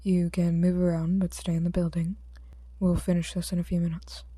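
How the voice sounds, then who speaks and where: A woman's voice: lowish voice, little pitch movement, brisk, warm tone, slightly rough, no room sound. A woman voicing a weary, stern male police detective in an audiobook, exasperated and firm. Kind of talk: audiobook character dialogue.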